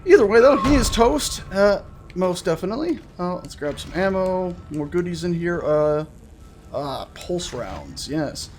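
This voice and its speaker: Animated higher-voiced man doing game commentary. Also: unhurried, slight background noise. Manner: jokey, chatty asides to himself and the game's characters; jumpy, excitable, prone to swearing shouts. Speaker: gaming video host. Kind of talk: casual let's-play commentary of a horror game.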